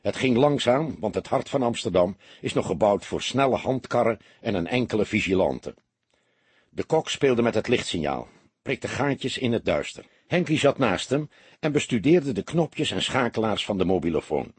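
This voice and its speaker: A male audiobook narrator reads a story expressively. His voice is very husky and moves with animated pitch, recorded dry and close. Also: normal speed, dry recording.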